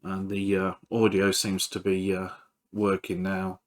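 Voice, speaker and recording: A man's voice: sits low, completely flat delivery, unhurried. A man giving casual, hands-on repair commentary as he works, hesitant and thinking aloud. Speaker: electronics repairer presenting a video.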